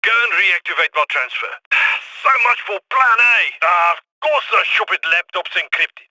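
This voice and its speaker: Crisp heist planner. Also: male voice, high voice, animated, moderate pace, pushed and bright, dry recording, full character voice, rough recording. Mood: commanding. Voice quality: crisp commanding instructions. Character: brisk male heist planner on comms, British. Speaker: mission handler. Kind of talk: video game voice lines.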